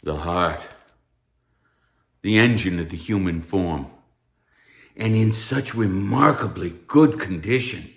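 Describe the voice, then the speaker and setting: An actor playing a stern, pompous anatomy professor, speaking formally and like a lecturer in a theatrical read. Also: male voice, sits low, lively intonation, slow pace, vocal fry, long pauses, reverberant, exaggerated voice. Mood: grim, haughty. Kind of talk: theatrical table read of a stage musical.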